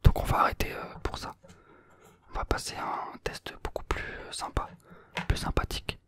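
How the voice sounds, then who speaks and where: A young adult speaks in a soft, breathy whisper with almost no voiced sound. The manner is that of an ASMR examiner who is playful and teasing while staying gently reassuring. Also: moderate pace. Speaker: ASMR roleplayer playing an examiner.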